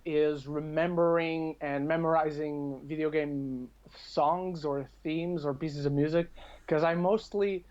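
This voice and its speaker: A man's voice: on the high side, darkish tone, highs cut off. A man chatting casually on a podcast in a rambling way, often correcting himself as he goes. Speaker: podcast speaker. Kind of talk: casual podcast chat about games and films.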